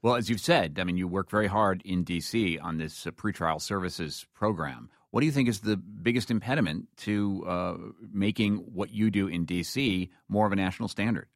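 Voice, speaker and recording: A man's voice: low-pitched, normal speed, hardly any pauses, dry recording, clean studio sound. A man hosting an interview, asking questions in a direct, plain-spoken, conversational way. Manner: direct, plain-spoken, rephrases points colloquially. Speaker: interviewer, host.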